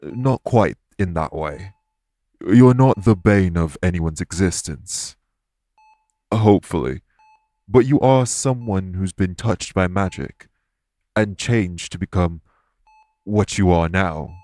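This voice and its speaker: A man playing a solemn, guilt-ridden fae in an audio roleplay, speaking formally and earnestly in measured, deliberate phrases. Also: low voice, mellow, no room sound. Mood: grave, explanatory. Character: solemn, guilt-ridden male fae explaining magic to a patient. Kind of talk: audio roleplay acting, addressed to listener.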